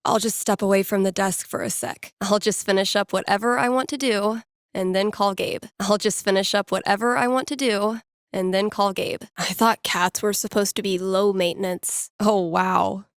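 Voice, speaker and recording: A woman's voice: medium pitch, fairly even intonation, moderate pace, no room sound, lightly acted. A woman voicing a wry, laid-back young DJ in a video game, talking casually to herself with dry sarcasm. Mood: wry, musing. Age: young adult. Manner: dry, sardonic, conversational.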